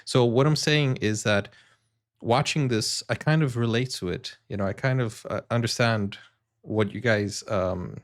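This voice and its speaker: A man's voice: medium pitch, a little slow. A Brazilian man whose first language is Portuguese, speaking English with an American accent, hosting a reaction video in an earnest, rambling way with many fillers. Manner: earnest, addresses viewers directly. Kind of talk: casual reaction commentary.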